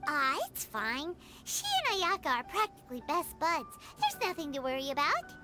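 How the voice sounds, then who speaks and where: A woman voicing a tiny, cheerful, childlike female companion who chatters excitedly. Music plays under the game audio. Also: sits high, normal speed. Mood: coaxing. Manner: excitable, blurts things out.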